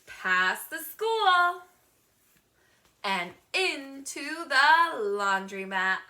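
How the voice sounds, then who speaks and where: A woman reading a picture book aloud to young children in an expressive teacher's manner, drawing words out. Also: high-pitched, very slow. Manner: expressive, asks the listener questions, gasps, draws words out.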